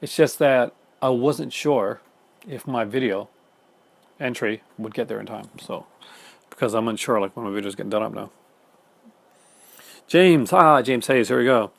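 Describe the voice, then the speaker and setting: Fast-talking Canadian man, gravelly voice. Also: mid-range voice. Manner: fast-talking, many fillers and restarts. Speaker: film collector YouTuber. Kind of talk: casual chat reading viewer comments. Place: home room in front of film shelves.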